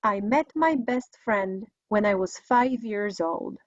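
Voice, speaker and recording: A woman's voice: mid-range voice, lively intonation, takes it slowly, no room sound. A middle-aged woman with a Polish accent giving an English language lesson, enunciating carefully in an instructional way. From Poland. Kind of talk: scripted video lesson with listen-and-repeat drills. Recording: dry, slightly dull lo-fi recording.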